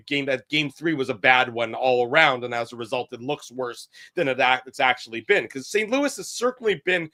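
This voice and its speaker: A man's voice: a little high, a bit bright. A man co-hosting a sports talk show, who keeps interrupting with probing questions. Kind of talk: casual sports chat.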